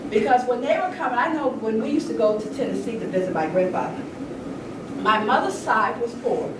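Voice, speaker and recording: A woman's voice: fairly high pitch, expressive, brisk. An older woman giving an impassioned, informal talk, earnest and emphatic, with a conversational storytelling way of speaking. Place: indoor room at a public event. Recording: echoey room, distant mic, noisy background.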